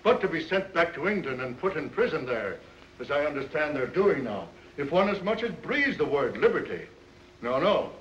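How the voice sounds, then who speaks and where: A man playing a sober, cautious colonial gentleman in 1930s film acting style. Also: fairly high pitch, big pitch swings, normal speed, slight background noise. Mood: cautioning. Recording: echoey, distant, dull 1930s film soundtrack.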